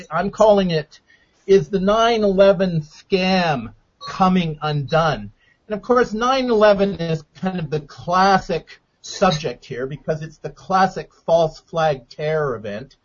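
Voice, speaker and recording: Male radio interview host, slightly high voice, measured and deliberate delivery, dull lo-fi recording. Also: lively intonation. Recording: dull lo-fi sound.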